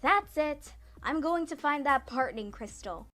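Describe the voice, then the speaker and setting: A woman's voice: high-pitched, lively intonation, unhurried, a bit bright, pitched above the performer's own voice. A woman voicing a determined young female pony heroine, anxious but brave, in an earnest story reading.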